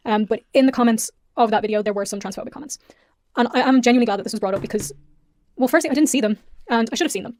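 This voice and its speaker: A woman's voice: on the high side, darkish tone, slight background noise. A young woman with an Irish accent, earnestly explaining and apologizing in a video of her own. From Ireland. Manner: earnest, apologetic, rapid talk. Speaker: YouTuber being reacted to.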